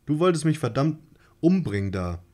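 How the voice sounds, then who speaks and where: A man voicing August, an odd, nervous young man who stalls and speaks flatly, in a radio play. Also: on the high side, normal speed. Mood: deadpan. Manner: hesitant and stalling, flat deadpan. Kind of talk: amateur radio-play reading.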